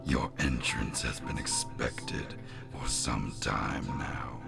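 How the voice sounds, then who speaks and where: An actor playing an ominous otherworldly presence, solemn and portentous, in a horror audio drama. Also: male voice, extremely low pitch, takes it slowly, vocal fry, over music, full character voice. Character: ominous otherworldly male presence, slow and foreboding.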